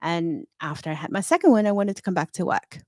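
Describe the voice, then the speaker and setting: A woman's voice: fairly low pitch, expressive, quick pace, dry recording, clean studio sound. A middle-aged woman from Hong Kong, a fintech consultant, speaking as an interview guest in a confident, candid, storytelling way. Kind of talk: interview conversation.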